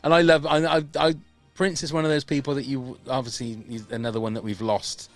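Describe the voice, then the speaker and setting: A man hosts a casual podcast over a video call, chatty and self-deprecating. His voice sits at an average pitch, and faint music plays behind him. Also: level tone, fairly quick, no room sound. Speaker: podcast host.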